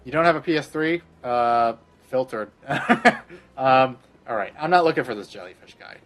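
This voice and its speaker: A man's voice: medium pitch, expressive, normal speed, a bit bright. A man streaming a game, rambling crudely in casual commentary and laughing often.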